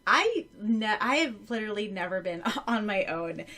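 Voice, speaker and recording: A woman's voice: mid-range voice, big pitch swings, normal speed, pushed and bright. A woman co-hosting a podcast in a casual, chatty way, telling things informally and animatedly with asides. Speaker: podcast co-host.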